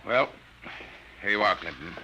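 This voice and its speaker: A man's voice: low-pitched, expressive, moderate pace, bright, forceful tone, muffled recording, exaggerated voice. An actor playing a grave, solicitous male army major in an old-time radio drama. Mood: grave, concerned. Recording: faintly noisy vintage radio recording.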